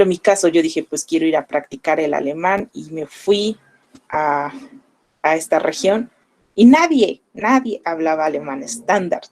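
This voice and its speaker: A young woman with a Mexican Spanish accent teaches her students, speaking conversationally and leaning on fillers as she explains. Her voice is mellow and sits a little low for a woman. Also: poor audio. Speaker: language teacher. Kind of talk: online class lecture.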